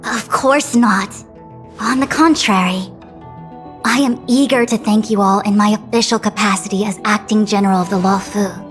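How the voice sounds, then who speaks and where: An actress voices a dignified young woman who leads as a fantasy game's acting general. Her delivery is measured, formal and authoritative, with the weight of duty on her, over music. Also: mid-range voice, steady tone, close to an everyday voice. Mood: gracious, official. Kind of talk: formal scripted game dialogue. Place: studio recording with music behind.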